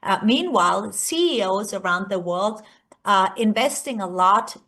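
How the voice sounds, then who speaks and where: A woman's voice: medium pitch, lively intonation, unhurried, fairly bright tone. A woman from Austria with an Austrian accent, a native German speaker, giving a semi-scripted conference keynote as a research executive. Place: remote presentation by screen share.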